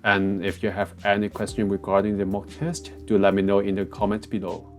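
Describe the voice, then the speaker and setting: Hong Kong-accented man, low voice. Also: level tone, brisk, soft background music. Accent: Hong Kong English. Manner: frequent fillers and restarts, plain phrasing. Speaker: test prep tutor. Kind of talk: casual explainer walkthrough. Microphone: cheap headset.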